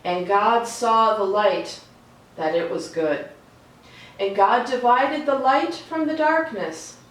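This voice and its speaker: A woman reading aloud for a children's storytime in a slow, deliberate, expressive way. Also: fairly high pitch. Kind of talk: read-aloud storytime with chatty asides. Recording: echoey room, distant mic, faint noise.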